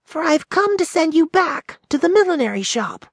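A man reading aloud and voicing Popopo, a stern, earnest male sprite who guards birds, in a high put-on voice. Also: big pitch swings, normal speed, no echo, clean studio sound, full character voice.

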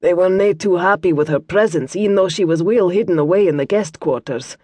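Brief: fussy Scottish monk, prim and reproving, pitched low, as a woman narrator puts him on, storybook read. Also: brisk, dark tone, husky, dry recording. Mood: earnest. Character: pious Scottish male monk, fussy and flustered.

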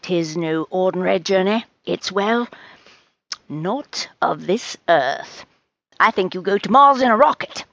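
A woman's voice: on the low side, expressive, measured pace, vocal fry, fairly bright tone, no echo, highs cut off, full character voice. A woman playing an eccentric, brusque old woman who tells fortunes in a storybook, with theatrical, mysterious pronouncements. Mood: mystical.

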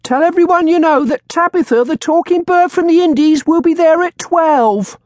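Old tavern beldam: piercingly high, brash, haranguing, for a historical audiobook. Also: normal speed, dry recording, studio quality. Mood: boastful, crying out. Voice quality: shrill. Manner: bold, haranguing street-seller's shouting. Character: fat, shrill old tavern woman hawking a talking bird.

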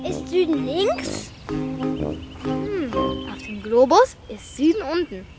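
A curious, eager young child in a children's audiobook. The voice is high and very lively in melody, with music behind it. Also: slow, full character voice.

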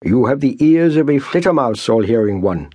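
A man voicing a sly, mock-humble army sergeant who heaps on elaborate flattery. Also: medium pitch, normal speed, breathy edge, no echo. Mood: mock-flattering.

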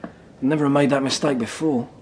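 A man playing a rough, hot-tempered Tudor assistant, blunt and forceful in a radio drama. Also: medium pitch, fairly even intonation, brisk, slight background noise, lightly acted. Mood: muttering. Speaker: lawyer's assistant. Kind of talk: full-cast radio drama.

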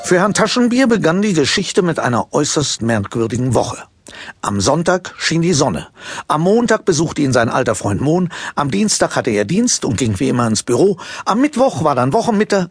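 A man narrating a children's story from a script in an expressive storytelling manner. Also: a little high, normal speed, slightly rough, no room sound.

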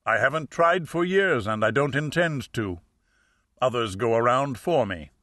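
A male narrator plays a hefty, imperious private detective from a mystery audiobook. He talks in blunt, formal, clipped pronouncements, with a bright edge, recorded dry. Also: medium pitch, lively intonation, a little slow, no room sound. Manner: formal, curt, grunting and muttering.

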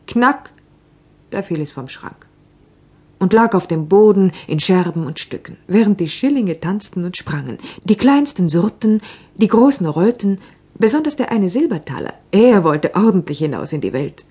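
A woman narrating a fairy tale, expressive and often dropping into a soft whisper. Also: mid-range voice, moderate pace, husky. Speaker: audiobook narrator.

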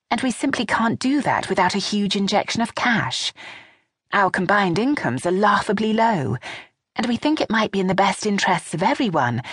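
A woman's voice: mid-range voice, breathy edge, no room sound, pitched above the performer's own voice. Hesitant, nervous widow, read by a woman, in a dry, close recording. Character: anxious widowed businesswoman, hesitant and placating.

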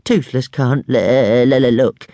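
A man voicing a small, cowardly, cheeky dragon in a storybook, shrill and whiny, stammering on the first sounds of words. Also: steady tone, slow, mellow, no room sound, studio quality. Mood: whiny moaning.

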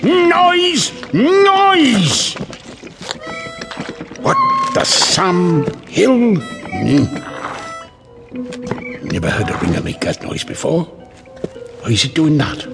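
A man's voice: mid-range voice, vocal fry, full character voice. An actor playing a grumbling, indignant, sarcastic working-man janitor in a comic radio drama, muttering his complaints. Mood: exasperated.

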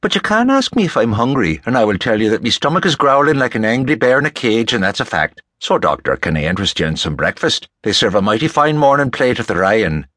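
A man's voice: mid-range voice, big pitch swings, fast, few pauses, fairly bright tone, no room sound, studio quality, voice pushed up. A man voicing an amiable, teasing Irishman with a folksy, jovial way of talking in an audiobook. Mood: teasing, hearty. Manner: folksy, jovial turns of phrase. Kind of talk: audiobook character dialogue.

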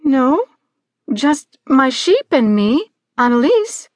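Woman playing a high-voiced, plucky little girl. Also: sing-song, slow, a little mellow, no echo, exaggerated voice. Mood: hesitant, puzzled. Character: imaginative young girl, polite, plucky, unsure.

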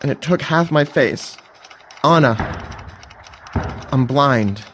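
Young blinded army officer, earnest, well-spoken, audio play, bit of background noise. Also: male voice, medium pitch, lively intonation, slow pace, a bit bright. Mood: anguished, proud. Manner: formal, articulate, heartfelt. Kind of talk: full-cast audio drama acting.

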